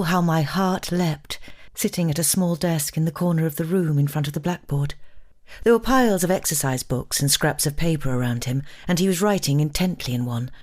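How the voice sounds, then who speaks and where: A woman's voice: sits low, moderate pace, a little mellow. An actress playing a lovestruck, brazen married woman, giving an intimate, wistful dramatic reading of a forbidden romance. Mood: wistful, longing. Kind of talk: dramatic first-person audiobook-style reading.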